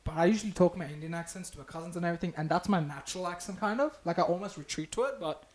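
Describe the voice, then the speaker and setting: A young man with an Australian accent hosting a casual podcast chat, excitable, swearing and laughing often. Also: sits high, rapid-fire. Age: young adult. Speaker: podcast host.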